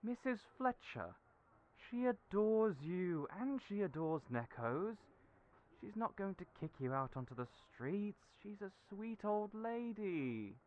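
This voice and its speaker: A man voicing a young friend who talks earnestly and encouragingly, raised in pitch from his own voice. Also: big pitch swings, takes it slowly. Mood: reassuring. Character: warm, reassuring friend, young adult. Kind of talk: audio roleplay acting. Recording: tinny phone-like audio.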